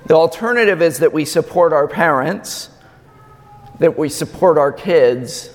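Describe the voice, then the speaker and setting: A man preaching a sermon in a slow, measured way with emphatic pauses, his voice slightly raspy. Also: a little high, animated. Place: large church. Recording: long church reverb.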